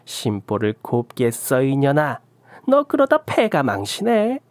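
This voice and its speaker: A woman's voice: rumbling low, huge pitch swings, measured pace, dry recording, exaggerated voice. Woman doing a coarse scolding female shaman. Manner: scornful, old-fashioned rough talk, drawn out.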